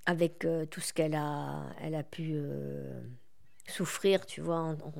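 A woman in her forties, a guest in an interview, speaking reflectively with many pauses and fillers. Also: deep voice, fairly even intonation, vocal fry, a little mellow. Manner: reflective, hesitant with frequent fillers. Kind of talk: conversational interview.

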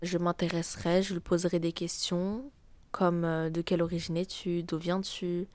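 A teenage girl with a French accent answers questions in a low voice, pausing often with small hesitations. The recording is dry and close. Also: little pitch movement, a little mellow, no room sound. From France. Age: teens. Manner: hesitant, many pauses with "euh". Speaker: interviewee. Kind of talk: casual interview answers.

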